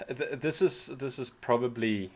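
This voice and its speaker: A man's voice: mid-range voice, slow pace. A South African man whose first language is Afrikaans, slightly sick, chatting casually and rambling as the host of a music reaction channel. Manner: rambling, self-deprecating, often restarts words. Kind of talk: casual reaction video chat.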